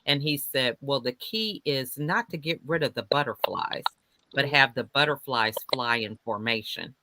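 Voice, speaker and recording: A man's voice: medium pitch, animated, normal speed, background noise. A man moderating a panel discussion, encouraging and conversational as he shares a story. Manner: encouraging, conversational, relates anecdotes. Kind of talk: casual panel hosting. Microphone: video call.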